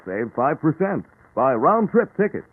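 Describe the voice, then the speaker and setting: A man's voice: on the high side, big pitch swings, a little slow, slightly rough. A man reading an old radio advertisement in a slogan-like announcer delivery. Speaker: commercial announcer. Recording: dry, dull old radio recording.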